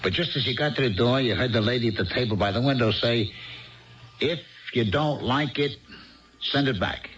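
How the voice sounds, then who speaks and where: Actor as a dry, patient bartender, lo-fi sound. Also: male voice, medium pitch, normal speed, fairly bright tone, natural voice. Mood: patient, probing. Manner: folksy, dry, prompting. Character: patient, plain-spoken, gently skeptical male bartender. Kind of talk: 1970s radio drama acting. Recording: dull lo-fi 1970s radio broadcast.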